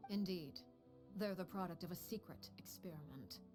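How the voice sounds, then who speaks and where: A woman voicing a cold, commanding head of a household called Father, speaking in a calm, measured way with hushed, grave whispers in a game cutscene. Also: fairly low pitch, close to an everyday voice. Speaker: stern head of an orphan household. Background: game music score.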